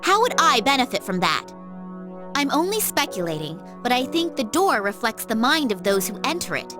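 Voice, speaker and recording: A woman playing an outspoken, excitable young female character in an anime video game. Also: a little high, moderate pace. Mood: defensive. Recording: music behind the voice.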